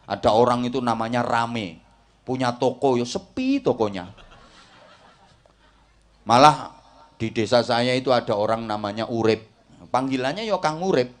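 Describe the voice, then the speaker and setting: A male Islamic preacher from Java with a Javanese accent addresses a congregation. His delivery is folksy and jokey, built on rhetorical turns and checks that people understand, at a middling pitch for a man. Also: lively intonation, normal speed, pauses often. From Java, Indonesia. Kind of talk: humorous sermon, mixing Indonesian and Javanese.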